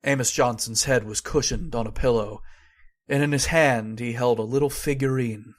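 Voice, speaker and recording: A man playing a grave, haunted nephew who tells his horror story in measured old-time radio style. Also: mid-range voice, steady tone, natural voice. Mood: somber. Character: somber male narrator of a horror tale, haunted nephew.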